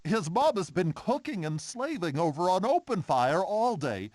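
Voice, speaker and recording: An actor playing a grateful, fretful prehistoric mammal father in an animated game. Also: sits high, normal speed, dry recording, studio quality. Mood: grateful, fussy. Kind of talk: animated video game character acting.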